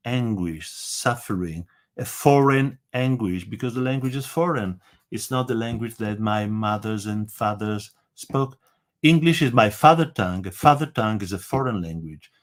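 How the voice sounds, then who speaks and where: Hesitant man lecturing with an Italian accent. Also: lowish voice. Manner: hesitant, many fillers, stresses words when reading. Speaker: teacher. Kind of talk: lecture with poem reading.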